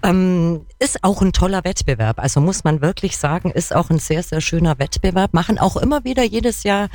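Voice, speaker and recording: A woman's voice: fairly low pitch, lively intonation, a little fast, darkish tone, no room sound. A woman from Kempten in the Allgäu in Germany, chatting casually as the host of a radio interview. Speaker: radio talk show host. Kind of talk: casual, friendly interview chat.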